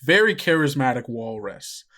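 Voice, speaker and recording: A man's voice: mid-range voice, sing-song, normal speed, bright, forceful tone. A man narrating as game master in a casual way, with many fillers.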